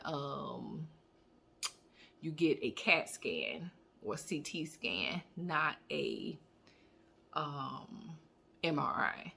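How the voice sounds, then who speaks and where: A woman vlogger chatting casually to her viewers, rambling with fillers and restarts, her voice a little hoarse. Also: sits low, sharp tone. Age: adult student. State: slightly hoarse. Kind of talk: casual personal vlog update.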